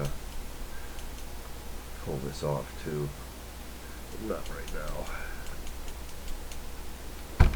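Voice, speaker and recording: A man with a low voice talks casually through hands-on electronics work. He is unhurried and often mutters to himself as he goes. Faint noise sits behind him in an indoor workshop recording. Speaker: electronics repair hobbyist, channel host. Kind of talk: casual hands-on workbench demonstration.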